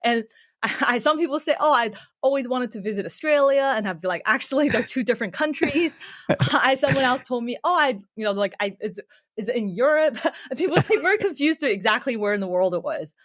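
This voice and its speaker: A woman's voice: fairly high pitch, brisk, no room sound, clean studio sound. Friendly woman with a North American accent. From China, then New Zealand and Canada. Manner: friendly, laughing easily, animated storytelling. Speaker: guest, derivatives market analyst. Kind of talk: interview conversation.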